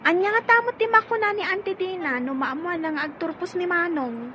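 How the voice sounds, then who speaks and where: A woman's voice: very high-pitched, fairly quick, noisy background. A woman with an Ilocano Filipino accent playing a cheerful, eager young little sister in an audio drama. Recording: dull lo-fi audio with music.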